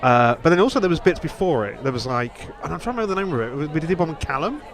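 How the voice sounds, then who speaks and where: Rambling male podcast host, slightly high voice. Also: expressive, racing, a faint crowd. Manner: rambling, self-correcting. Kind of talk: casual reminiscing chat.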